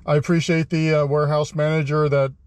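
A middle-aged man casually narrating his scrap metal run, easygoing and rambling, often pausing on fillers and correcting himself. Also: a little high, little pitch movement, clear, resonant tone, no room sound. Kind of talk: casual first-person vlog narration. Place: outdoors at a scrapyard, then inside a car.

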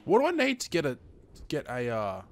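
A man's voice: a little high, huge pitch swings, fairly quick, darkish tone, a little hiss or hum. A man in his twenties with an Australian accent gives casual, chatty, sweary gameplay commentary into a headset, a little sniffly from hay fever. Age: 20s. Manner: sweary, chatty, addressing viewers as guys. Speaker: gaming video host.

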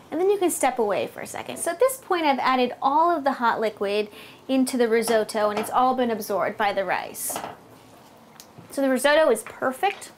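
American woman, thirties, cooking demonstration, high-pitched, animated intonation, step-by-step instructions, indoor kitchen. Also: slight background noise. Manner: clear step-by-step explaining. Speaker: cooking host, food blogger. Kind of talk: recipe demonstration to camera.

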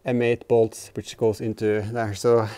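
Clear-voiced man thinking aloud. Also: on the low side, little pitch movement, mellow. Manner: halting, thinking aloud while working. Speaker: host of a DIY vehicle build vlog. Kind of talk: casual hands-on workshop narration. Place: indoor garage workshop, in and around an open car.

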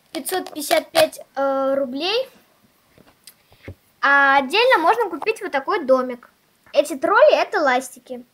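A female host chatting casually in a toy unboxing video, showing things step by step in a simple, halting way. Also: sits high, fairly bright tone. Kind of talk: casual unboxing vlog chat.

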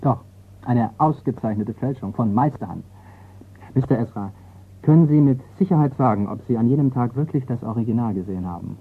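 An actor playing a courteous, persistent insurance investigator in a radio crime play. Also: male voice, medium pitch, fairly quick, slightly rough. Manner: courteous, probing. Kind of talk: German radio drama acting. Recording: tinny, noisy radio recording.

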